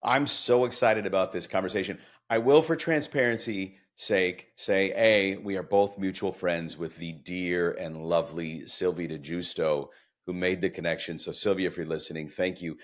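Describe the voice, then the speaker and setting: A man's voice: low-pitched, normal speed. A man hosting a podcast interview, chatty and enthusiastic, asking long winding questions. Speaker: podcast host.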